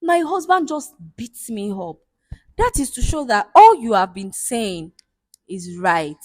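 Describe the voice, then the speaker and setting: A woman's voice: a little high, sing-song, unhurried, dry recording. A woman with a Nigerian accent voicing a wronged young wife who accuses her husband. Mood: hurt. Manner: shouting accusations.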